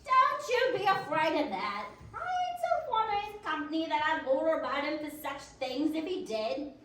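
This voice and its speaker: A woman's voice: high-pitched, sing-song, normal speed, fairly bright tone. A woman playing a spiteful, thieving charwoman in a readers theater drama, scornful, recorded in a very echoey room. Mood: gloating. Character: gleeful, spiteful, thieving old London charwoman. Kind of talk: readers theater stage acting. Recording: very echoey room, distant mic, faint noise.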